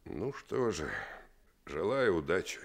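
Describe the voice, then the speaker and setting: An actor in a Soviet radio drama playing a tough, protective adult drifter, with a husky voice and a commanding but gruffly kind manner. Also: male voice, low-pitched, big pitch swings, takes it slowly, heavily acted.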